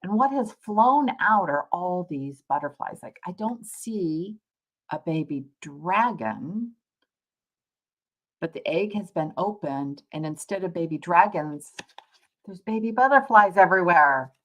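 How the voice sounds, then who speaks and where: A woman's voice: on the low side, expressive, a little slow, fairly bright tone, low-quality recording. A woman hosting a casual live tarot reading, talking to viewers in a conversational, reflective way and rephrasing as she explains. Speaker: tarot reader, livestream host.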